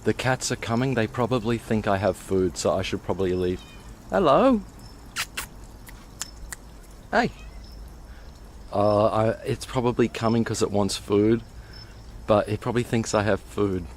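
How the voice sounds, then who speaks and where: A man's voice: expressive, moderate pace, slight background noise. A man, born in Hong Kong, chatting casually as he live-streams a walk outdoors at night. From born in Hong Kong, grew up in Australia. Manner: chatty, easygoing, talks to cats in a high voice. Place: rural roads outdoors at night. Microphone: mobile streaming rig.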